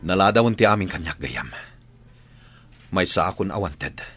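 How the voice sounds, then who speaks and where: An actor with an Ilocano Filipino accent playing a fatalistic, defiant fugitive in a dramatic radio play. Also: male voice, low-pitched, lively intonation, normal speed, a bit bright, slightly rough, full character voice. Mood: defiant, resigned. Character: fatalistic armed male fugitive, defiant toward his friend. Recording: old AM radio drama, music underneath. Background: dramatic music.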